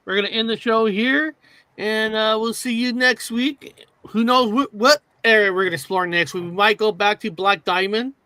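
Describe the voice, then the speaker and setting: Co-host, male, high and bright, loose rambling delivery, dry sound. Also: steady tone, normal speed, no echo. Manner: rambling, repeats filler words. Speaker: co-host of a paranormal talk show. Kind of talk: casual live-stream chat.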